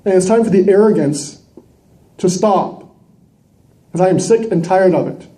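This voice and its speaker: A man's voice: high voice, normal speed, mellow. A man giving an impassioned, combative public address, emphatic and full of rhetorical questions. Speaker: citizen delegate at a council meeting. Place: large indoor council chamber. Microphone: gooseneck microphone close to mouth.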